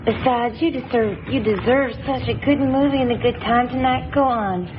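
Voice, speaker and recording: A kindly, firm neighbor, as a woman plays her, with a calm and reassuring delivery at a fairly high pitch. The recording is dull and muffled, with clear noise behind her. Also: moderate pace, close to an everyday voice. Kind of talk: radio drama acting.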